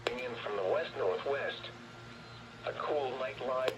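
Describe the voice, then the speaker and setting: A man's voice reading a scripted weather bulletin in a formal, measured way, heard through a radio speaker. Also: mid-range voice. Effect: played through a radio speaker. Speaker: weather radio forecast announcer. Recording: very noisy clicking weather radio playback.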